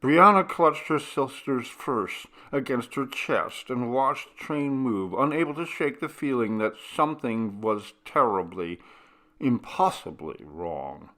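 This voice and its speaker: A man's voice: medium pitch, expressive, takes it slowly, pushed and bright. A man narrating a ghost story as a scripted, dramatic audiobook reading.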